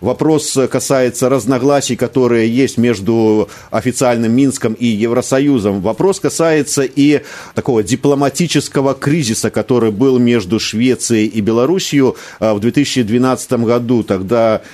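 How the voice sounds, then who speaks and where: A man interviewing a guest on radio, asking long questions filled with pauses and fillers. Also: medium pitch, warm tone. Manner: drawn-out questions with many fillers.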